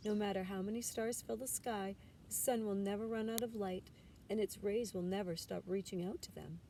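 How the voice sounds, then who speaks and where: Mother raccoon for a picture book, read by a woman: dark-toned, motherly, patient, comforting; recorded from a distance. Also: medium pitch, lightly acted. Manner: tender, soothing, motherly. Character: gentle, loving mother raccoon, patient and reassuring. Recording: distant mic with background noise.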